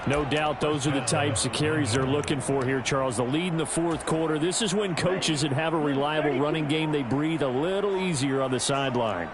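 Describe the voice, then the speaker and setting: A man playing a play-by-play football commentator in a video game, upbeat and brisk in his scripted calls. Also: fairly high pitch, heavy background noise, close to an everyday voice. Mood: engaged, matter-of-fact.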